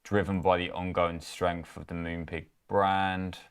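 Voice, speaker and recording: A young man co-hosting a market show, talking in a relaxed, offhand way. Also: low-pitched, unhurried, clear, resonant tone. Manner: relaxed, offhand, casual phrasing. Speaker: co-host at a trading firm. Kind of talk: casual chat.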